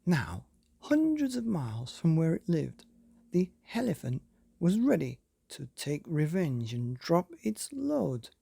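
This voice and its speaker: A man hosting and reading a children's bedtime story, with theatrical, dramatic emphasis and drawn-out words. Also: fairly high pitch, wildly swooping pitch, vocal fry, warm tone, husky, dry recording. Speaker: podcast host and story reader.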